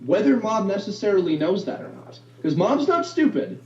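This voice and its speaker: A man who voices anime characters, talking in a casual interview. He rambles with many fillers and restarts and has a baritone voice. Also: very animated, normal speed, darkish tone, slight background noise. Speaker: voice actor guest. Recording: distant, roomy, dull lo-fi sound.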